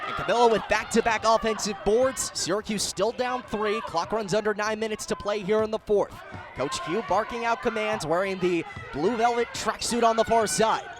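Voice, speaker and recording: A man's voice: sits high, moderate pace. An American man doing live radio sports play-by-play in emphatic, clipped phrases. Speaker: play-by-play commentator.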